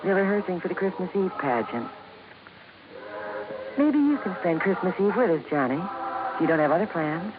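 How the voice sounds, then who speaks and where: A woman's voice: fairly low pitch, very animated, normal speed, long pauses. An actress playing an earnest, anxious young woman, a loyal friend, in 1950s radio drama style. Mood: wistful. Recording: tinny, noisy old radio recording.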